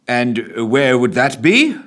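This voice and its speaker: A man's voice: medium pitch, very animated, slow pace, a bit bright, pitched above the performer's own voice. A man voicing a wry, unflappable male prime minister in a storybook reading. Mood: dry.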